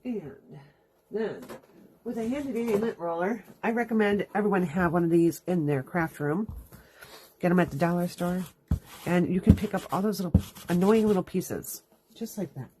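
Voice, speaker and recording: Woman, stuffy nose from allergies, chatty step-by-step crafting talk, lowish voice, faint background hiss. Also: moderate pace, warm tone. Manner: chatty, explains each step as she works. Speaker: crafting host. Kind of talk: live card-making demonstration. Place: home craft room. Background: dog dragging its bed around.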